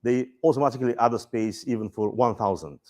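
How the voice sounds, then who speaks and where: Bulgarian-accented man presenting software. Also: medium pitch, quick pace. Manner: relaxed, dry humor, frequent restarts and fillers. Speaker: presenter at a software user conference. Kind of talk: conference presentation.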